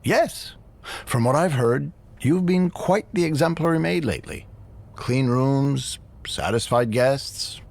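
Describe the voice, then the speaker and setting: An actor playing a pompous, moralizing hotel manager who speaks with self-pitying formality in a video game scene. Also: male voice, mid-range voice, expressive, slow, vocal fry, heavily acted. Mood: condescending approval. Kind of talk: dramatic video game cutscene acting.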